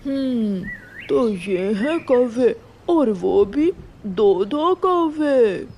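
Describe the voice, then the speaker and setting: Casting: woman as hungry cobra villain, menacing, slow and drawn-out, noisy background. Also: a little high, animated, dark tone, full character voice. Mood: hungry, lurking. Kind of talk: Hindi cartoon villain dialogue.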